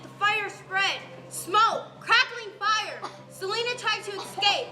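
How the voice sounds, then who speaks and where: A woman's voice: extremely high pitch, lively intonation, slow pace, heavy background noise, heavily acted. A young woman playing a solemn storyteller, narrating a tragic tale in a school stage play. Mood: urgent. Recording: echoey stage, loud music behind.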